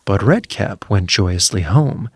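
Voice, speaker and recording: Male fairy-tale narrator: lowish voice, lively intonation, measured scripted storytelling. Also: breathy edge, dry recording.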